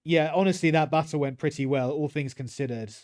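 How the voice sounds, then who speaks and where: A man's voice: fairly high pitch, fairly quick, darkish tone. A British man casually commentating his gameplay on a livestream and chatting with his viewers. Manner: easygoing, chats with viewers while playing. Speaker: streamer.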